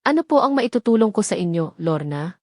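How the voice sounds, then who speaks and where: A woman's voice: fairly low pitch, sing-song, a little fast, darkish tone, no echo, studio quality. Woman voicing a brave, devoted wife. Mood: polite. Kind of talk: audio drama dialogue.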